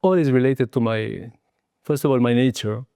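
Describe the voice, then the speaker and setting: A man in his sixties who grew up in southern Tunisia answers as an interview guest. His voice is mellow and relaxed, recorded close and dry. As a non-native speaker he picks his way through sentences in broken, hesitant phrases with small tags at the end. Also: mid-range voice, moderate pace, creaky, no room sound. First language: not English. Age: 60s. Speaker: guest, martial arts and Qigong teacher. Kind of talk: reflective personal interview talk.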